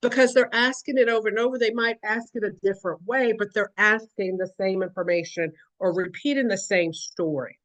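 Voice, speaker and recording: A woman's voice: medium pitch, steady tone, a little fast, hardly any pauses, fairly bright tone, poor audio. Woman, educator, earnest explanatory talk, acts out examples.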